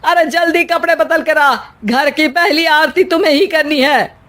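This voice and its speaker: A woman's voice: sits high, moderate pace, a bit bright, voice pushed up. A woman voicing a scandalized, traditional middle-aged mother-in-law who scolds indignantly in a cartoon story. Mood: scolding. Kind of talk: animated cartoon story dialogue.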